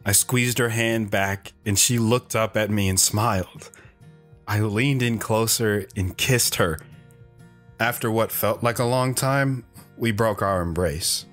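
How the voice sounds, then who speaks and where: A man's voice: fairly low pitch, takes it slowly, fairly bright tone. An actor playing a lone wanderer who recounts his romance in an earnest, hesitant, wistful way. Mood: wistful, reflective. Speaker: storytelling guest on a radio show. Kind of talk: dramatic first-person narration. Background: plucked guitar music.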